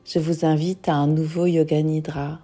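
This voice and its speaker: A woman guiding a yoga nidra meditation in a soothing, unhurried manner, leaving long pauses. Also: low voice, little pitch movement, warm tone, faint music behind. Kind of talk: guided meditation.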